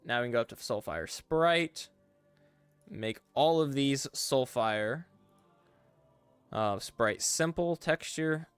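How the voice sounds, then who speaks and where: A man's voice: medium pitch, slow pace, pauses often, clear, resonant tone, no echo, soft background music. A young man casually chatting on a live stream, talking through each step as he works and reading chat aloud. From English-speaking. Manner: relaxed, talks through steps as he works, reads chat aloud.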